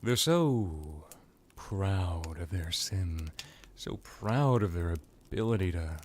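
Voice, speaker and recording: A man playing a suave, menacing vampire lord in an ASMR roleplay, musing languidly with drawn-out words and condescending affection. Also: low voice, expressive, a little mellow, a little hiss or hum. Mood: veiled threat. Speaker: character roleplay performer. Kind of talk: ASMR villain roleplay monologue.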